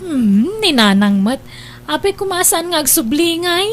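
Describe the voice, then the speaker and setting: A woman's voice: extremely high pitch, very animated, unhurried, full character voice. A woman playing a caring, chatty older sister in an Ilocano audio drama. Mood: reassuring.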